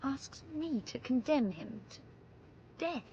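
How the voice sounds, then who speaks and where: An earnest young British woman, in vintage radio drama style. Her high voice moves through wide swings of pitch, emotional and hesitant. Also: animated, slow, warm tone, muffled recording, a little hiss or hum. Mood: anguished. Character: earnest, conflicted young woman, police chief's daughter.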